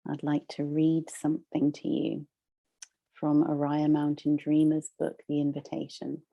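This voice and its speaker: A woman leading a guided meditation as a teacher, soothing and measured, with long pauses between phrases. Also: sits low, monotone, creaky, warm tone, dull audio.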